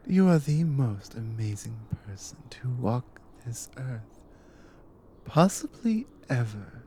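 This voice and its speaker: A woman playing a devoted, dominant Nordic warrior wife in an intimate ASMR audio roleplay, speaking softly and earnestly, often dropping to a whisper. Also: rumbling low, slight background noise, full character voice. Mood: tender. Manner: soft, hushed, often breathy whispering, slow and earnest.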